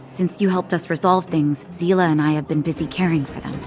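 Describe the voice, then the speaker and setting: Caring game doctor female actor, lowish register, measured and unflappable, soft music beneath. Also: steady tone, natural voice. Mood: concerned. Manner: soothing, measured. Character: caring, unflappable woman doctor of a mining-town clinic. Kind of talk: video game voice acting. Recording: faint music behind the voice.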